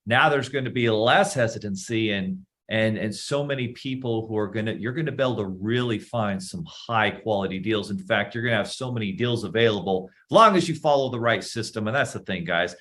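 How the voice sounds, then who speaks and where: A man's voice: on the low side, brisk, hardly any pauses, fairly bright tone. A man hosting a podcast, upbeat and hyping the listeners up. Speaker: podcast host. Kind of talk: casual interview talk.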